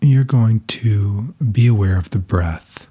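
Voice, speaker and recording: Slow, husky-voiced man guiding meditation. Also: lowish voice. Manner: soft, calm, unhurried instruction with long pauses. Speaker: meditation guide. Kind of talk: guided meditation.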